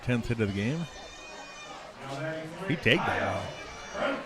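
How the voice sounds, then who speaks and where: A man's voice: medium pitch, lively intonation, slow pace. A man with a cold giving color commentary on a baseball game, mostly short agreeing remarks. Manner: brief agreeing interjections, laughs easily. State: has a cold, sniffly. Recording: crowd noise in the background.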